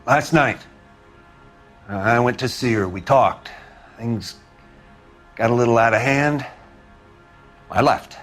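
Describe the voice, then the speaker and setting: An actor playing a weary, bitter husband under police questioning who answers in a defensive, hesitant way. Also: male voice, medium pitch, slow pace, long pauses, fairly bright tone, faint music behind. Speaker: murder suspect, victim's husband.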